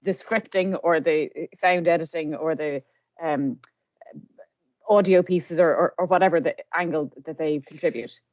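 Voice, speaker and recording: A woman interviewing a guest informally, phrasing her questions tentatively with pauses. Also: sits low, a little mellow, no room sound. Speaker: podcast interviewer.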